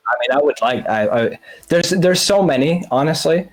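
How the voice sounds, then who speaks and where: A man's voice: a little high, lively intonation, moderate pace, crowd noise, rough recording. A man chatting as a music producer, rambling in an informal way. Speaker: music producer on a talk show. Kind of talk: casual chat.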